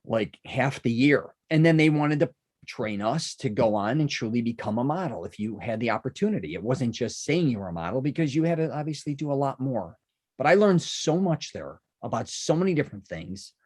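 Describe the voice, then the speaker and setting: A man's voice: mid-range voice, big pitch swings, fairly quick, a little mellow, breathy edge. A man from Chicago hosting a podcast, chatting casually and rambling with many asides and restarts. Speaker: podcast host. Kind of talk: casual interview chat.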